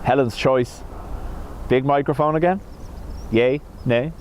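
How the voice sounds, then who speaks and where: A man's voice: mid-range voice, slow pace, a little mellow. A man hosting a live stream, chatting casually and jokingly while reading out viewer comments on a wireless microphone. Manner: chatty, jokey, self-mocking. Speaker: livestream host. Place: outdoors in a van by an airport. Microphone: wireless microphone with wind filter. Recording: wind noise on an outdoor mic.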